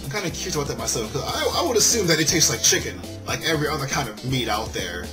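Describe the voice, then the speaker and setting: Animated man hosting a let's play over music. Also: mid-range voice, quick pace. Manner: jokey, stumbles while reading and addresses viewers. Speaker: gaming video host. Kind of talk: let's play, reading a visual novel aloud with commentary. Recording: music playing under the voice.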